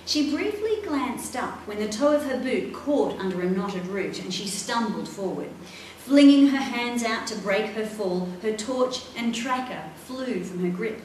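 A middle-aged woman reading a children's adventure novel aloud in an expressive, dramatic way. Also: a little high, measured pace. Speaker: author reading own book. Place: indoor library room, seated at a table. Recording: echoey room, distant mic, faint noise.

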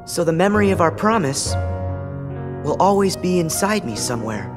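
An actor playing an earnest, determined, warm-hearted teenage boy hero in a video game. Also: high-pitched, sing-song, moderate pace, music in the background. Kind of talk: video game cutscene acting.

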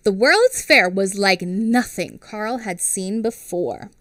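A woman in her thirties reading a picture book aloud to children on a headset mic, pausing to explain and ask questions. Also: mid-range voice, no echo. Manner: expressive, asks children questions. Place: small home studio with classroom backdrop.

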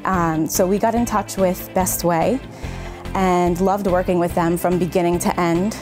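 Lowish-voiced woman's casual testimonial. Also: fairly even intonation, unhurried. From United States. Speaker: customer giving a testimonial. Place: indoors on a couch. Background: music.

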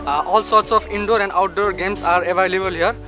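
A man's voice: high-pitched, steady tone, fairly quick, music in the background. A young man from Nepal, a medical student, speaking eagerly outdoors on campus. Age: young adult.

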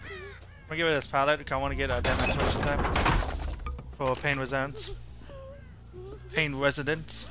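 A man's voice: medium pitch, fairly even intonation, normal speed, long pauses, noisy background. A young man giving live gameplay commentary as a streamer, muttering to himself in short fragments. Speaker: game streamer. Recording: game music and sounds behind. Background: horror game music and effects.

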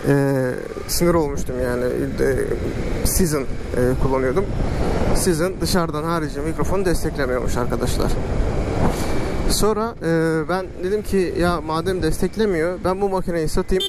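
A man telling a casual vlog story in a halting, drawn-out way, speaking into a helmet microphone. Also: on the high side, heavy background noise. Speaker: motorcycle vlogger. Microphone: external microphone inside a helmet.

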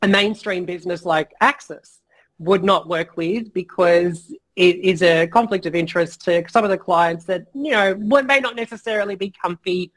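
Frank, rambling man, slightly hoarse. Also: high voice, moderate pace, a bit bright. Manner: frank, rambling, many fillers, mild swearing. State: voice going hoarse. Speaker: guest entrepreneur. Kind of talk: casual interview chat.

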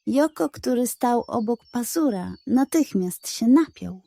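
A woman's voice: on the high side, lively intonation, a little slow, gravelly, warm tone, no room sound. A woman narrating a scripted bedtime story for children, as a storyteller. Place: close, dry recording.

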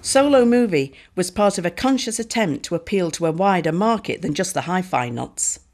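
A woman giving scripted documentary narration in a clear, measured way. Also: fairly low pitch, animated, a little mellow, a little hiss or hum. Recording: voice-over with background music.

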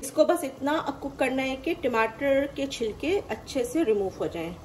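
Woman w/ walking thru a recipe, friendly, bit high pitched, kitchen sizzle in the back. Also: expressive, moderate pace, noisy background. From Pakistan. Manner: friendly, explains each step plainly. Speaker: cooking channel host. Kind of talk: step-by-step recipe voice-over. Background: frying and sizzling.

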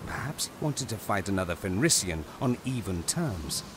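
A man narrating a scripted audio drama in a grave, deliberate, dramatic way. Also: lowish voice, sing-song, background noise.

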